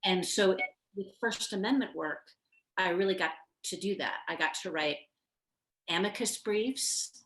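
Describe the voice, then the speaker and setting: A middle-aged woman, a film producer, chatting quickly with laughing asides on a video call from her living room. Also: mid-range voice, fairly bright tone, reverberant. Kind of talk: casual conversation. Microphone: video call, far camera.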